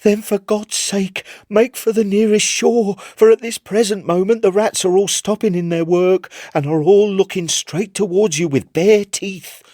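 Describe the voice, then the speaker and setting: A man's voice: high-pitched, mellow, dry recording, clean studio sound, voice pushed up. A man voicing a terrified young ship's carpenter in a storybook reading, pleading desperately and fervently.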